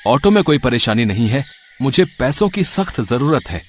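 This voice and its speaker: A man's voice: medium pitch, big pitch swings, moderate pace. A man playing a poor, loving husband in a moral story, speaking earnestly and tenderly. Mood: worried. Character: loving, poor husband and worried auto driver. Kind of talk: acted dialogue in a Hindi moral story.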